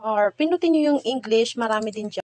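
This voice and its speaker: Higher-pitched young Filipino woman giving a tutorial. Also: a little fast, darkish tone, a little hiss or hum. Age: young adult. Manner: addresses viewers informally. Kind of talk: casual step-by-step tutorial voice-over.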